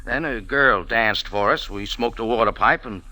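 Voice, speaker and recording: A man's voice: mid-range voice, normal speed, bright, forceful tone, no echo, low-quality recording. An American man playing a wisecracking, world-weary hero in a hardboiled old radio drama, sardonic and clipped. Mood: weary explaining. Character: wisecracking, tough, world-weary cafe-owner adventurer hero. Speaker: lead actor and narrator. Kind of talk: 1940s-50s hardboiled radio drama narration and acting.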